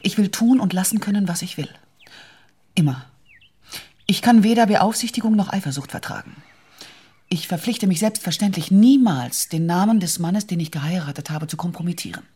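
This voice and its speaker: An actress playing a composed, independent young widow in a literary radio drama, breathy and husky, with measured, deliberate, formal speech. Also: female voice, on the low side, animated, mellow. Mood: resolute, deliberate. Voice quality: very husky, breathy, often near whisper.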